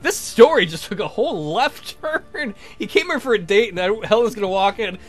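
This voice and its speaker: High voiced guy narrating a visual novel game, super lively, slangy and sweary, music under him. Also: normal speed. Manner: irreverent, foul-mouthed, slangy. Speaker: let's play host. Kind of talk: reading a visual novel aloud with joking asides.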